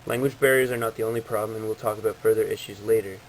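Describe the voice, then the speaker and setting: A man's voice: fairly low pitch, fairly even intonation, rapid-fire, slight background noise, low-quality recording. A man reading out a prepared informational presentation in a formal, textbook-like way.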